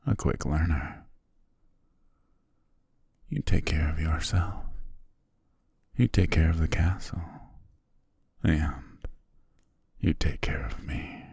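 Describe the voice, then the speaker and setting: A man playing a doting, possessive immortal vampire lord in an intimate ASMR romance roleplay, husky, tender and softly commanding. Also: dry recording, heavily acted. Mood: adoring, possessive. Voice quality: breathy, husky low whispering.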